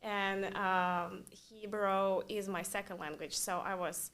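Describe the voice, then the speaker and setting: A woman's voice: mid-range voice, steady tone, slow pace, a bit bright. Russian-speaking woman designer, searching for words. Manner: searching for words, non-native phrasing. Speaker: interior designer guest. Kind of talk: casual interview.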